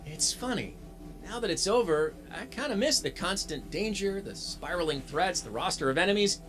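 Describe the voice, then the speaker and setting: A man's voice: high voice, expressive, normal speed, a bit bright, faint music behind. A man playing a cocky, plucky young robot hero in a video game, with a breezy, wisecracking delivery. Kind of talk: video game cutscene acting.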